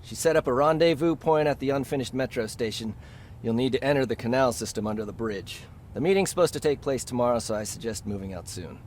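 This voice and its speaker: A man playing a brisk, helpful resistance officer in a video game, speaking in a businesslike way. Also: medium pitch, darkish tone, slight background noise, natural voice. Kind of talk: video game dramatic acting.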